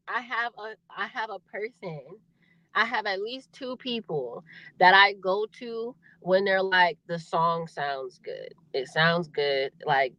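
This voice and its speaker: Deep-voiced woman, laughing, chatty. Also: a bit bright, dry recording, poor audio. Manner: chatty, laughs often, repeats words. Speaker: podcast guest, singer. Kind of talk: casual interview chat.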